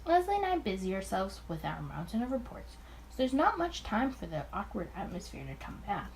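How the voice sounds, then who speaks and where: A woman's voice: medium pitch, sing-song, a little fast, darkish tone, slight background noise, rough recording. A woman reading the first-person thoughts of an anxious, smitten young male detective in a visual novel. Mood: anxious, brooding.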